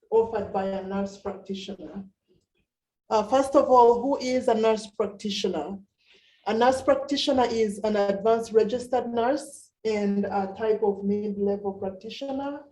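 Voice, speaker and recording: A woman, a nurse practitioner giving an informal talk at a meeting, pausing often with fillers. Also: medium pitch, mellow, echoey room, recorded from a distance, poor audio. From Uganda. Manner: halting, many fillers.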